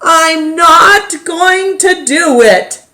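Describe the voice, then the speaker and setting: A woman voicing an eager young boy in a storybook, with expressive, wistful delivery. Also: extremely high pitch, extremely slow, voice pushed up, exaggerated voice. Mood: stubborn. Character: eager young boy who longs for new shoes.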